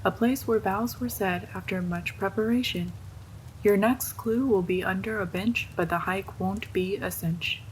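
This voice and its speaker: A woman voice-acts a playful wife delivering rhyming clues in a horror story. Her pitch is mid-range and her delivery is singsong. There is faint noise behind her. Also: unhurried, natural voice, poor audio. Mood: teasing riddle. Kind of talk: scripted horror story voice acting.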